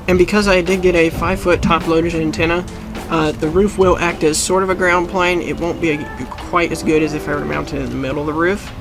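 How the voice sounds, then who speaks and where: A man hosting a DIY video, explaining things methodically, step by step, in an instructional style. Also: on the high side. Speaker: host of a DIY how-to channel. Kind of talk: instructional how-to commentary.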